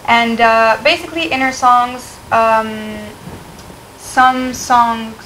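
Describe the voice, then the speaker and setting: A young woman from Canada, a singer being interviewed, talking softly and hesitantly with many fillers. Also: on the high side, slow pace, a little hiss or hum, low-quality recording. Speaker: singer guest. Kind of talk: casual interview chat.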